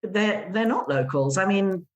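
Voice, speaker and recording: A woman's voice: medium pitch, expressive, a little slow, lo-fi sound. A middle-aged woman with an English accent, chatting casually as a podcast guest about hosting travellers. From England. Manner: chatty, acts out guests' voices in quotes. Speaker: gîte owner, guest.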